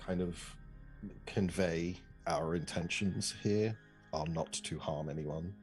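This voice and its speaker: A man with a deep voice talks casually as a player in a tabletop game. He is hesitant and thinks out loud, with faint music in the background. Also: takes it slowly.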